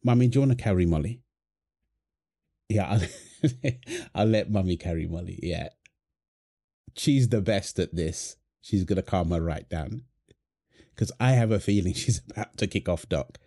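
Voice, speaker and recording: A man playing a playful, doting young husband and father in a soft, tender ASMR roleplay, teasing as he talks. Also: low-pitched, expressive, measured pace, pauses often, full character voice. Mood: reassuring, affectionate. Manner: teasing, tender, chuckles often while talking. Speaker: audio roleplay performer. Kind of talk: ASMR boyfriend roleplay, one-sided dialogue.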